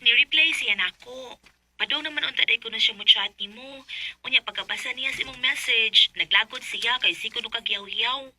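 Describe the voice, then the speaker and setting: An actress playing a helpful young friend and confidante in a Bisaya radio drama, with a Cebuano Filipino accent. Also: female voice, a little high, a little fast, sharp tone, poor audio. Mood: concerned, hesitant.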